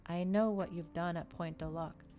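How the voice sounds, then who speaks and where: A woman's voice: fairly low pitch, fairly even intonation, moderate pace, dark tone, a little hiss or hum, lightly acted. A woman voicing a frightened plantation woman who speaks in an urgent, accusing way in an audiobook.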